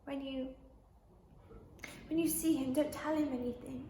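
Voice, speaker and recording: A woman playing a weary, wounded young actress in a halting, drifting stage monologue. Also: high-pitched, fairly even intonation, dark tone, echoey room, slight background noise. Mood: tender, grieving. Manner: halting, repeating, drifting between thoughts. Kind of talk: stage monologue, classic tragic drama.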